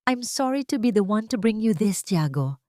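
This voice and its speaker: A woman voicing a two-faced male cousin who speaks with measured, feigned concern in an audio drama. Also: medium pitch, very animated, mellow, dry recording, studio quality, close to an everyday voice. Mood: fake sympathy. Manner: falsely sympathetic, measured.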